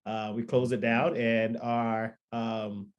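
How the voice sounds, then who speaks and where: A man's voice: medium pitch, level tone, slow pace, clean tone, lo-fi sound. A man in his thirties, a pastor and record producer born in Liberia and raised in Florida, telling his story in a podcast interview with lots of ums and restarts. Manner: rambling, many ums and restarts. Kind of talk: relaxed testimony in a podcast interview.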